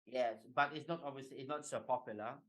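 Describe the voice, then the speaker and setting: A man in his forties from Greece, talking as a guest in a casual interview over a video call, relaxed and halting, with many fillers and light laughs. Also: mid-range voice. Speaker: guest, executive coach.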